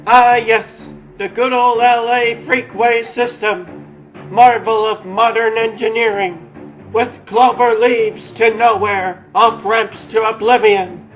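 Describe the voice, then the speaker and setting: Guy doing a wry cartoon rabbit superhero, high voice, slow theatrical delivery, bit of music behind. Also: fairly even intonation, poor audio. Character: wry, dramatic male rabbit superhero leader. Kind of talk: comic dub character acting. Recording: faint music underneath.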